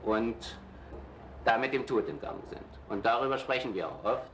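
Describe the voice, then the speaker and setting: An older man recalls his past in a relaxed, chatty way, stopping to correct himself and filling pauses. His pitch is middling but rises and falls a lot. A faint room ambience sits behind him. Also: a little hiss or hum, low-quality recording. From born in Shanghai, later lived in Germany. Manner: chatty, with hesitations and self-corrections. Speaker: interviewee. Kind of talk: casual reminiscing.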